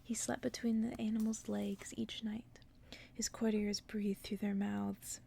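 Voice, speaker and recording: A woman speaking in a soft, hushed whisper in the style of an ASMR bedtime reading. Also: mid-range voice, flat delivery, a little slow, gravelly, slightly rough. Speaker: ASMR creator reading stories to listeners.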